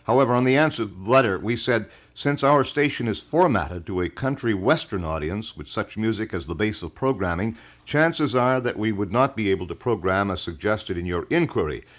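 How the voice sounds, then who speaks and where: A man's voice: on the low side, animated, moderate pace, few pauses. A man with an American accent hosting a local radio broadcast in a formal, wordy, old-fashioned announcer style. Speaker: radio talk show host. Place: radio studio.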